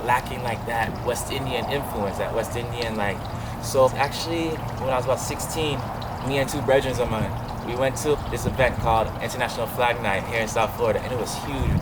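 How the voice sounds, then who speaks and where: A young man from Trinidad answering interview questions in a relaxed, rambling way, full of "like" and "you know". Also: medium pitch. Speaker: interviewee, event promoter. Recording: loud background noise.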